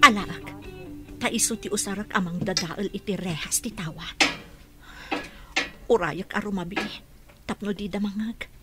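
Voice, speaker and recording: A woman voices a determined captive in a radio play, speaking mostly in low whispers. Clear music plays behind her. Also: moderate pace, long pauses, natural voice. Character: captive plotting escape through a window. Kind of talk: radio drama monologue.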